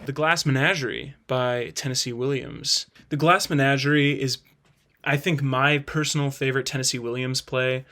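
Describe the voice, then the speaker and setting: A man's voice: mid-range voice, fairly even intonation, normal speed, pushed and bright. Casually opinionated man, thinking aloud. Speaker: host. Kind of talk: casual spoken video review.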